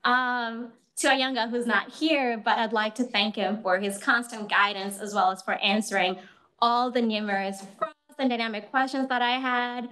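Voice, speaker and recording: A woman's voice: fairly high pitch, fairly even intonation, normal speed, bright, forceful tone. A young woman with a Kenyan accent, giving a thank-you speech at her thesis defense to a room with an audience and laughing often between phrases. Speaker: PhD candidate.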